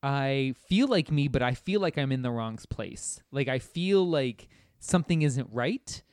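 A man of middling pitch talks casually about a video game. He muses informally as he works through an idea. The sound is dry, with hardly any room on it. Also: animated, unhurried, a little mellow, smooth, no room sound. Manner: informal, musing. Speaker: podcast guest.

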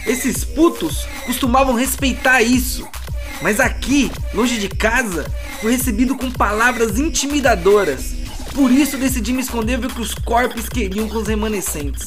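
A man with a Brazilian accent playing a flustered, foul-mouthed merchant stranded in wreckage, talking haltingly and agitatedly in an audio drama. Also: extremely high pitch. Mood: flustered, anxious. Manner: agitated, halting, swearing, muttering to himself. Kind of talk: audio drama distress message monologue. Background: music.

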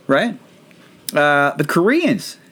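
A man's voice: fairly high pitch, sing-song, takes it slowly, a bit bright, breathy edge. A Filipino man, not a native English speaker, hosting a casual, rambling, self-deprecating chat show. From Philippines. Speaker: podcast host.

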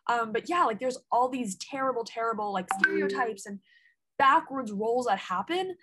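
Animated woman interviewer chatting casually. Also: fairly high pitch, normal speed, background noise. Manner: animated, full of "like" fillers.

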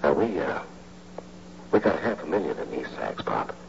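An actor playing a persuasive, stammering amateur bank robber who takes the lead, in an old radio drama. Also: male voice, very deep voice, very animated, moderate pace, vocal fry, exaggerated voice. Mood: wheedling. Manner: pleading, persuasive, hesitant stammering. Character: fast-talking, wheedling amateur male bank robber, the leader. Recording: muffled, hissy old radio recording.

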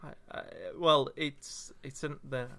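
A young Welsh man with a Welsh accent, co-hosting a casual podcast chat and telling stories in a halting, stop-start way. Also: on the high side, steady tone, a crowd in the background. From Wales. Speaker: podcast co-host.

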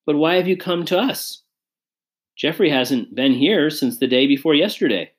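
A courteous, steady father from a storybook, as a woman reader plays him. He speaks firmly but kindly, with expressive movement in his pitch. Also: normal speed. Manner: polite, firm, kindly.

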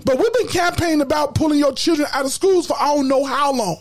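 High-pitched woman, emphatic podcast host. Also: fast talker, a little mellow. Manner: emphatic, preachy, repeats words when fired up; colloquial slang. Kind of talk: casual podcast discussion.

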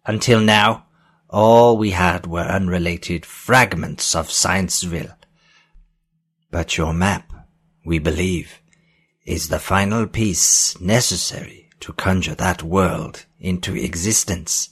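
A man's voice: low voice, fairly even intonation, slow, fairly bright tone, slightly rough, heavily acted. A man voicing a frail old man in an audiobook, speaking formally with solemn, insistent authority.

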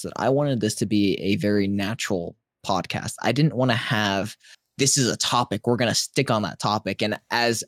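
This is a man co-hosting a casual podcast, his voice set low on purpose. He talks through his thoughts in long, earnest sentences. The sound is close and dry. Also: no echo. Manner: deliberately lowers his naturally high voice; reflective, thinks aloud in long sentences. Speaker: podcast co-host.

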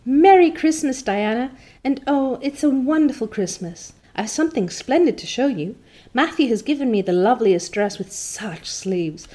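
Lady reading as a bubbly orphan girl, high and gushy, swings all over. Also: expressive, normal speed, warm tone. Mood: rapturous. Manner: gushing, breathless enthusiasm. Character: imaginative, rapturous orphan girl. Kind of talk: storybook reading.

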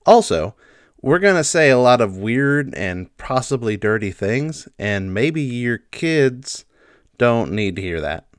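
Teasing man with lively intonation. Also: medium pitch, slow pace, smooth, no room sound, clean studio sound. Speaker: podcast co-host and player. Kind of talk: casual chat.

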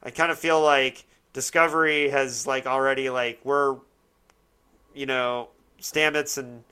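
A man's voice: medium pitch, fairly even intonation, a little slow, pushed and bright, smooth. A man hosting a casual discussion, rambling and correcting himself as he searches for words. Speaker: podcast host. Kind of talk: casual chat, TV show discussion.

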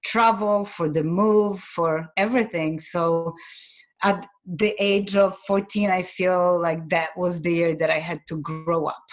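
A woman from Poland, a native Polish speaker, telling her personal story as an interview guest in an earnest, measured, reflective way. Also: on the low side, steady tone.

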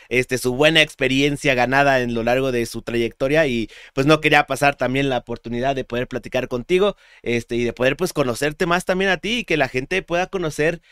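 A man with a Mexican Spanish accent hosting a podcast interview, relaxed and slangy, with many fillers. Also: medium pitch, clean tone, no room sound, clean studio sound. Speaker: podcast host. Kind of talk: casual interview chat.

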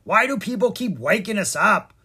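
A storyteller voicing an irritated, complaining pond frog with a croaky squawk, in a children's storybook. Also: medium pitch, animated, normal speed, pushed and bright, pitched above the performer's own voice, exaggerated voice.

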